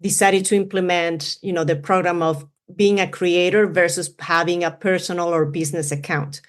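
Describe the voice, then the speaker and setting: A woman's voice: on the low side, fairly even intonation, moderate pace. A woman from Venezuela with a Spanish accent, speaking English in a casual interview, rambling with many fillers. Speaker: running influencer guest.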